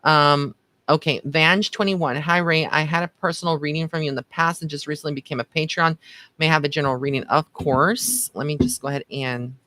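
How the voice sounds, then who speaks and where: A man's voice: a little high, fairly even intonation, fairly quick, pushed and bright, dry recording. A man working as a psychic medium, giving card readings in a casual, chatty livestream style with informal endearments for his listeners. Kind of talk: casual livestream chat with viewers.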